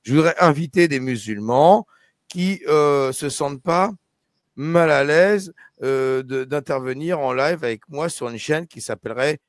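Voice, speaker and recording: A man's voice: a little high, a little slow, no room sound, clean studio sound. A man hosting a casual live discussion, talking with many hesitations and restarts. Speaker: YouTube live host.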